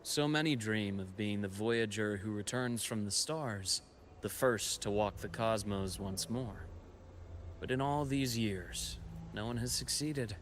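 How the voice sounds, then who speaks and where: An actor playing a composed, knowledgeable expedition guide and scholar in a video game, telling history in a measured, reflective way. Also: male voice, on the low side, a little hiss or hum, lightly acted. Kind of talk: scripted video game story dialogue.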